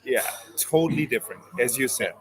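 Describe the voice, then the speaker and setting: A man's voice: mid-range voice, expressive, a little fast, fairly bright tone, rough recording. A man from Switzerland, a performance coach, answering interview questions in careful explanations with frequent ums. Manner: explains step by step with many fillers.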